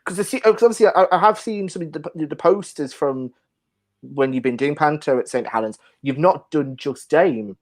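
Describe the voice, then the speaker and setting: A woman's voice: deep voice, normal speed, a little mellow. A woman hosting a casual interview, chatting with guests and putting questions to them. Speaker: show host interviewing guests.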